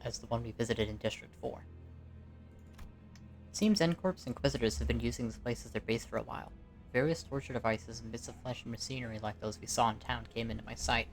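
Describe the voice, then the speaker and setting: An amateur narrator reading a scripted game story at home. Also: mid-range voice. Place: quiet room at home. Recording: home recording with faint music behind.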